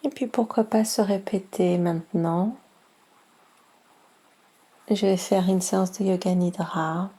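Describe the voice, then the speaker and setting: A woman guiding a yoga relaxation session as instructor, speaking in a soft, breathy voice with calm, soothing instructions. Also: medium pitch. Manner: slow, calm, soothing instructions with long pauses. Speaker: yoga nidra instructor and guide. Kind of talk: guided relaxation meditation.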